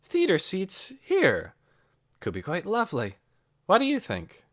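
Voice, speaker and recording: A man voicing a brisk, self-absorbed adult woman with a breezy, decisive manner in a storybook reading. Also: high voice, wildly swooping pitch, fairly bright tone, pitched above the performer's own voice, heavily acted. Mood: breezy, musing.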